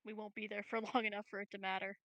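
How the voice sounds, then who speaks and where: Bright, creaky-voiced female gamer. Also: medium pitch, little pitch movement, fast, dry recording. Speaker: tabletop game player. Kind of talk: casual chat.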